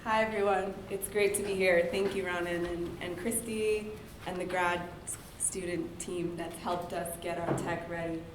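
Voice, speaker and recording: A woman who is losing her voice speaks to an audience in a chatty, self-deprecating way, with plenty of filler words. Her voice sits a little low and carries through a roomy hall over faint background ambience. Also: fairly even intonation, normal speed, background noise. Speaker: cartoonist and author giving a talk. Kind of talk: casual public talk to an audience. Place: university event hall.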